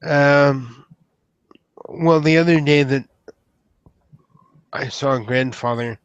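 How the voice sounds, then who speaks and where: A man playing an awkward, polite troll knight in a tabletop roleplay, speaking in a halting, formal, flustered way. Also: mid-range voice, clean tone, dry recording, dull audio. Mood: awkward, solemn. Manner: halting, hesitant, hedging politely.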